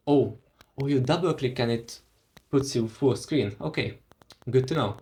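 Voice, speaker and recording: Young man, first language Hungarian, slow drawl, mid pitch, casual walkthrough, thinking aloud. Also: darkish tone. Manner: unhurried, thinking aloud with pauses and fillers. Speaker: tech vlogger. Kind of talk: casual screen-recorded walkthrough.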